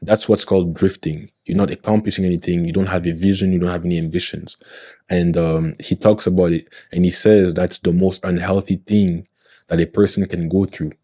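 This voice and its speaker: Deep, earnest young man. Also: level tone, a little fast, dark tone, dry recording, clean studio sound. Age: 20s. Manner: earnest, motivational, often checks in with "you know what I mean". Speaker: podcast guest. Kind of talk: casual podcast conversation.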